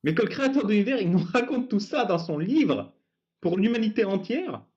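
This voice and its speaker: A man's voice: high voice, big pitch swings, brisk, darkish tone. A man hosting a casual talk, sarcastic and laughing often. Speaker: host of a debate channel. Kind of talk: casual mocking chat. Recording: dull lo-fi sound.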